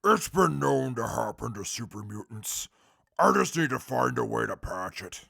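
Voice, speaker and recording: A man playing a huge, intellectual male super mutant with a gravelly voice and pompous, formal diction in an audio drama. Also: mid-range voice, expressive, normal speed, pushed and bright, dry recording, studio quality, full character voice. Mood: matter-of-fact. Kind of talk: post-apocalyptic audio drama acting.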